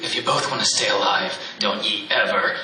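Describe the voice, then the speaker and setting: An actor playing a jittery, superstitious Irish caretaker in a comic radio play, stammering and laughing nervously. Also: male voice, lowish voice, normal speed, natural voice. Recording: echoey far-off stage mic with music.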